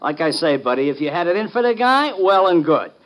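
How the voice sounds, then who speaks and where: High, bright, brash acrobat. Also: male voice, very animated, brisk. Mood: annoyed. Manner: slangy, plain-spoken, griping. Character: brash, blunt male circus acrobat, easily annoyed. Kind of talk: 1950s radio drama acting.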